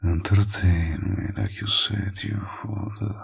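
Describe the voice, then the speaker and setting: A low-voiced male villain, acted by a man, speaks intimately to the listener in a roleplay audio. He is teasing, possessive and smug, taking his time with every line. His gravelly, husky murmur often sinks toward a whisper. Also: steady tone, slow, slight background noise, full character voice. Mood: flirtatious, coaxing.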